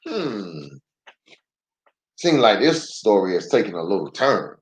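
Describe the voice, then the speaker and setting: A man reading a children's story aloud in an expressive storytelling style. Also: fairly low pitch, slow pace, poor audio.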